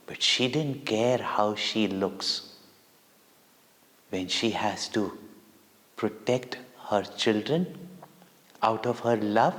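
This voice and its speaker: A man with an Indian accent telling devotional stories to children in a deliberate, theatrical way with dramatic pauses and emphasis. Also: medium pitch, a bit bright. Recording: long echoing hall reverb.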